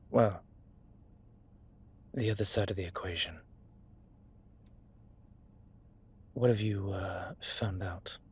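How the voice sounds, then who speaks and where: A man playing a low-key investigator in a roleplay audio drama, speaking quietly and laconically with dry teasing. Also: unhurried, slightly rough, full character voice. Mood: quiet, musing.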